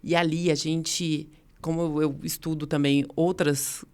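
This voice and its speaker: Low-voiced woman with a slight Bahian accent. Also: level tone, a little slow, a little mellow, smooth. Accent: light Bahian Portuguese. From Brazil. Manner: earnest, determined, laughs easily. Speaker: prosecutor and podcast guest. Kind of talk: casual interview chat.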